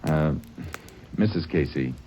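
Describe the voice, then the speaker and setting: An actor playing a steady, matter-of-fact lawman who narrates his case in an old radio drama, with clipped delivery. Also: a bass voice, slow pace, muffled recording, slight background noise, full character voice. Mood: terse. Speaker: narrator and lead. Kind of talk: 1950s radio drama acting.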